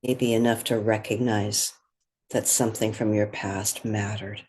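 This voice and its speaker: A meditation guide speaking gently and in a measured way, leaving long pauses between phrases. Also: medium pitch, level tone, slightly rough. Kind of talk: guided meditation, soothing spoken instruction.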